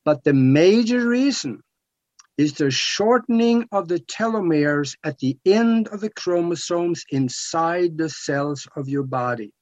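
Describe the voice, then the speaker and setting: A man's voice: a little high, lively intonation, unhurried, a little mellow, no room sound. A man in his seventies from Norway with a Norwegian accent, explaining things emphatically as a talk radio guest. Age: 70s. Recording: dull lo-fi talk radio audio.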